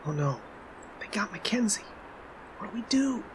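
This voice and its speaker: An actor playing an anxious, cautious stage magician in an audio drama. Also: male voice, high-pitched, big pitch swings, moderate pace, background noise. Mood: worried, hushed.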